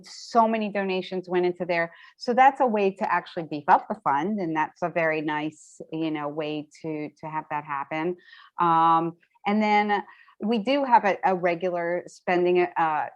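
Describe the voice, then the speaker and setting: A woman fielding questions and explaining things in a halting, hesitant way, over dull meeting audio. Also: fairly low pitch. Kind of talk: explanatory question-and-answer talk.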